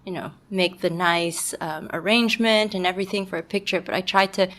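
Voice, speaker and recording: A woman from Montreal, a guest on a podcast, speaking thoughtfully and pausing often with ums. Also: on the low side, steady tone, a bit bright. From Montreal, Canada. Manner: thoughtful, hesitant, many ums. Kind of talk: casual conversation.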